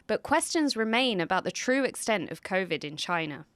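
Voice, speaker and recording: Female reporter, news voice-over, measured and serious, dry close studio sound. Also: mid-range voice, big pitch swings, a bit bright, no echo. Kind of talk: scripted news report narration.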